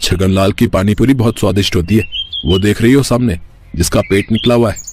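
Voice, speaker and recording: Low-voiced, eager young brother-in-law played by a man. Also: fairly quick, noisy background, lightly acted. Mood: playful. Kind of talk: cartoon story character acting.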